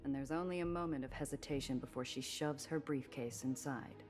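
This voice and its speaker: A woman's voice: a bass voice, brisk. An actress playing a wry, guarded, streetwise woman smuggler in a noir game, narrating in a dry, world-weary way. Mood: tense, narrating. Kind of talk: noir first-person narration and game dialogue. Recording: music behind the voice.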